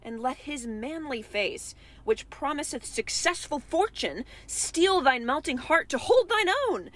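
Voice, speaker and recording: Woman acting a high-voiced, commanding queen. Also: animated, measured pace, fairly bright tone. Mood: urgent reproach. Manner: urgent, persuasive, declamatory. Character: proud, forceful queen urging a soft king to harden. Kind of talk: Shakespearean audio drama.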